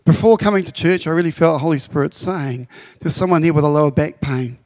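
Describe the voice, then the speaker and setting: A man's voice: on the high side, fast, a little mellow, no echo. Man, from Papua New Guinea, Tok Pisin first language, pastor, heartfelt and fervent, dry close recording. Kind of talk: address to congregation. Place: church.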